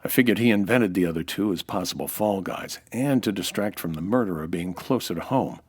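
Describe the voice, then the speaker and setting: A man's voice: low-pitched, a little fast, darkish tone, breathy edge. A man with an American accent playing a dry, wisecracking, hard-boiled nightclub owner in a 1940s-style radio detective drama. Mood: explaining. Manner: dry, wisecracking, world-weary.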